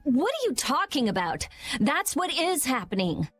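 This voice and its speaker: A woman voicing a blunt, skeptical teenage girl in an animated role-playing video game, dry and sarcastic. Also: high voice, normal speed. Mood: critical. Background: faint background music.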